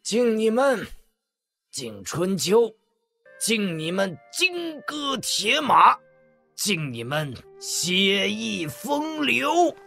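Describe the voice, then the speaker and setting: An actor playing a proud, sentimental old strategist in an audio drama, declaiming slowly with deliberate pauses in a husky, gravelly voice. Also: male voice, high-pitched, big pitch swings, bright, forceful tone, soft background music, exaggerated voice. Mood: fervent toast. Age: older. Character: free-spirited old strategist, proud, sentimental, at life's end.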